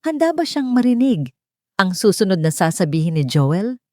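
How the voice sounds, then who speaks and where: A woman's voice: mid-range voice, big pitch swings, moderate pace, dark tone, no room sound, studio quality. A woman with a Filipino accent reading scripted, dramatic narration as a storyteller.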